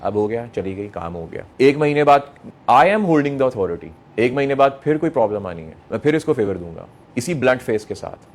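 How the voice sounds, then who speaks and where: A man's voice: medium pitch, sing-song, normal speed. A middle-aged man with a Pakistani accent lecturing young people, emphatic, repeating phrases, asking rhetorical questions and mixing in English phrases. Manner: mixes English phrases into speech. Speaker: motivational speaker addressing young people. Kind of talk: motivational lecture to an audience.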